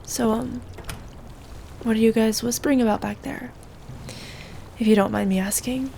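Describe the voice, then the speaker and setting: Actress as a creaky, higher-voiced curious friend. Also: female voice, fairly even intonation, normal speed, mellow, a little hiss or hum. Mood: tentative. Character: curious, concerned young woman friend. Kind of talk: audio roleplay acting.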